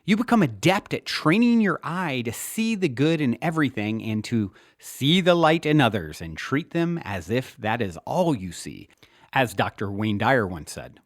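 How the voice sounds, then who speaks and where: A man reading his own spiritual self-help book as an audiobook, in an earnest, deliberately phrased delivery. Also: mid-range voice, very animated, no room sound, studio quality.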